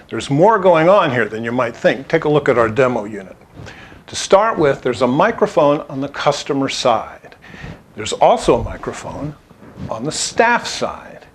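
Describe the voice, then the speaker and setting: A man presenting a scripted product overview in an instructional, emphatic, clearly structured way. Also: mid-range voice, slight background noise. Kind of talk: scripted explainer narration to camera.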